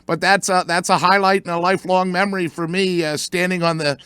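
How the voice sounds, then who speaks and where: A man's voice: high-pitched, flat delivery, brisk, pushed and bright, clear, resonant tone, dry recording. An older American man hosting a sports radio show, chatting casually and telling stories with many fillers. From United States.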